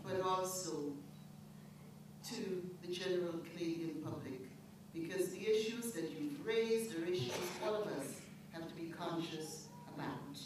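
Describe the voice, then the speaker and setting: A woman's voice: on the low side, a little slow. A woman organizer addressing speakers and an audience in an earnest, formal way. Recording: echoey room, distant mic, noisy.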